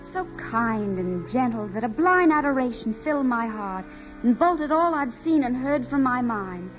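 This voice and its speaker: Woman playing naive young farm girl, highish earnest voice, timid, 1940s radio drama, music behind. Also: dull audio, lightly acted.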